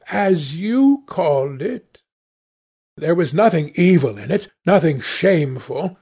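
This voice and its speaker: An anguished, devout painter, acted by a male audiobook reader speaks in a raised, husky voice. He is agitated and imploring, moralising with theatrical horror. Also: high-pitched, darkish tone, voice pushed up, full character voice. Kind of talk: dramatic audiobook character voice.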